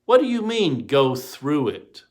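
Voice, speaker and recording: A man's voice: medium pitch, huge pitch swings, measured pace, darkish tone. A man voicing an exasperated, anxious small-town mayor in a storybook reading, with an indignant, hesitant manner.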